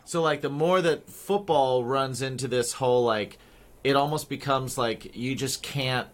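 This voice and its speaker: A man's voice: mid-range voice, measured pace. A man who grew up in the American Midwest, co-hosting a podcast in laid-back, casual chat full of fillers. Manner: laid-back, many fillers, laughs easily. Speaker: podcast co-host.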